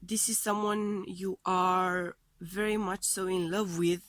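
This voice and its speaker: Tarot reader, woman, voice off from a cold; unhurried, searching delivery, close dry mic. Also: fairly low pitch, little pitch movement, no room sound. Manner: tentative, searching phrasing as she reads cards. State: voice thrown off by a heavy cold. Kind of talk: weekly tarot love reading.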